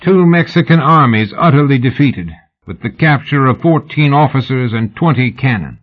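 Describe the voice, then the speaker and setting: A man's voice: mid-range voice, expressive, moderate pace, pitched above the performer's own voice, lightly acted. Male narrator as old war hero general, pompous, boastful, oratorical storybook speech, slightly lo-fi. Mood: triumphant.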